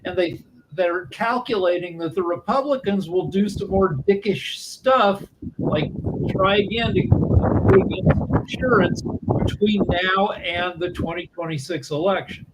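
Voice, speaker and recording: A man's voice: sits high, a little slow, very noisy. A man taking part in a forum discussion, talking bluntly with casual swearing. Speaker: forum participant.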